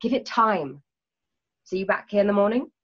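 Calm, firm female mentor played by an actress, formal instructor delivery, medium pitch, radio drama, dull home recording. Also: normal speed, slightly rough. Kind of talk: remote radio play acting.

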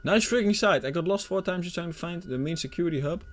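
A man's voice: high-pitched, fast talker, slight background noise. A young man with a Dutch accent, commentating a horror game as he plays, jumpy and swearing when startled. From Netherlands. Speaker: gaming YouTuber.

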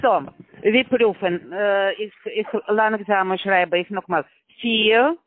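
A man as a phone scammer, speaking halting, broken German patiently and repetitively on a phone call. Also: high voice, no room sound. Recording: tinny phone line.

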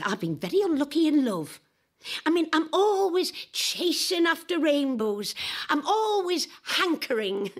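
An eccentric older lady adventurer in an audio drama, flamboyant and a bit boozy. Her husky voice sits fairly high and swoops theatrically up and down. She confides in the listener with a drawl and relishes each word. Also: exaggerated voice. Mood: wistful, melodramatic. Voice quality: husky, breathy.

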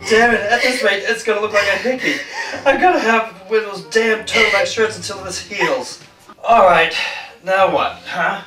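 A man's voice: high voice, normal speed, bright, forceful tone. An actor playing an agitated, exasperated male prisoner in a thriller film. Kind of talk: dramatic film acting. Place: bare room. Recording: echoey room, distant mic, faint music.

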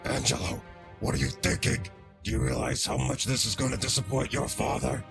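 A man's voice: medium pitch, big pitch swings, a little fast, vocal fry. A man voicing a menacing alien symbiote monster in a comic dub, cruel, arrogant and sneering. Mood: urging, angry. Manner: sneering, bullying. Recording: loud music behind. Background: loud music.

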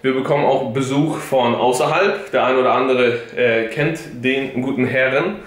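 A man's voice: medium pitch, moderate pace, echoey room. A young man in his twenties filming a casual fitness vlog with a camera at arm's length, joking and slangy, first at home, then in a big gym. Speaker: fitness vlogger. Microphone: camera held at arm's length.

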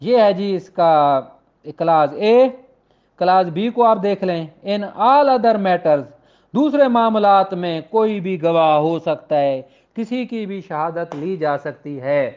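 A man with a Pakistani accent, lecturing informally as a law teacher and switching between explanation and slowly read-out legal English. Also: high voice, expressive. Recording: dull, lo-fi audio.

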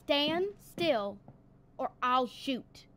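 A woman's voice: sits high, expressive, drawn-out words, bright, forceful tone, slight background noise, heavily acted. A woman playing a suspicious, folksy, commanding female sheriff in a 1940s-style radio drama. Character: gruff, suspicious armed female country sheriff.

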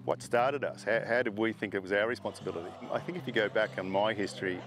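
A man's voice: on the low side, fast, crowd noise. A middle-aged man, a horse farm owner, talking casually in an interview, rambling with fillers and restarts.

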